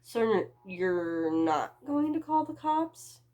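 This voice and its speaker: A woman's voice: a little high, big pitch swings, takes it slowly, warm tone, voice pushed up. A woman reading the part of a wary, defiant eighteen-year-old street thief in a dramatic storybook manner.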